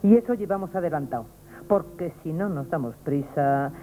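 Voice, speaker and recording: An actress plays a plotting working-class Madrid woman with a Spanish accent. She is bossy and uneasy, in a dark, mellow voice. The old radio theatre recording carries faint noise. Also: low voice, moderate pace, close to an everyday voice. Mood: uneasy, conspiring. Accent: Spanish, from Spain. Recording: noisy old radio broadcast.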